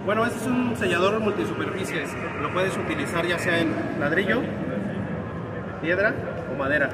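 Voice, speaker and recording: A man's voice: high voice, moderate pace. A man demonstrating a sealer at a trade fair booth, explaining plainly and repeating key points. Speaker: sales representative. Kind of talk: product demonstration. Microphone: phone camera close by. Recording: loud crowd noise around.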